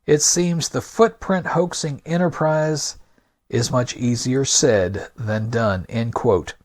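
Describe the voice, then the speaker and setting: A man hosting a podcast with scripted narration, delivered in a deliberate, emphatic, argumentative manner. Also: mid-range voice. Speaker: podcast host.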